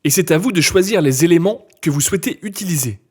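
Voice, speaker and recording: Male instructor, slightly high husky voice, scripted step-by-step software tutorial read. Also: expressive, fast talker.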